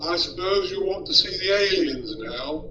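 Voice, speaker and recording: Male actor as sullen robot, high-pitched, self-pitying, complaining, muffled recording, sci-fi radio comedy. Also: lively intonation, moderate pace. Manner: morose, complaining. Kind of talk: amateur sci-fi audio comedy acting. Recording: muffled, noisy, distant and echoey.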